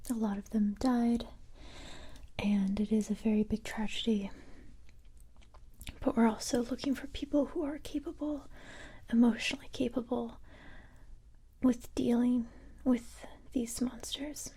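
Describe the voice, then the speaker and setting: A hushed, breathy female recruiter for an elite force, acted by a woman, whispers in a mellow, fairly high voice. She is calm, sympathetic and reassuring, interviewing an applicant in an intimate ASMR roleplay. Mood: calm, businesslike. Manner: gentle, slow, reassuring. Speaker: ASMR creator playing a roleplay character.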